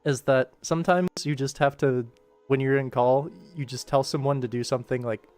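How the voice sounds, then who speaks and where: A man's voice: medium pitch, fairly even intonation, normal speed, darkish tone. A man giving casual, musing livestream commentary as he directs animation voice work. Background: background game music.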